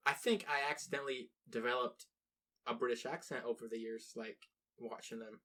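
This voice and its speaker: A man's voice: medium pitch, fairly even intonation, brisk, bright, forceful tone. A young man from the United States, co-hosting a casual podcast chat, rambling with restarts and plenty of swearing. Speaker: podcast co-host.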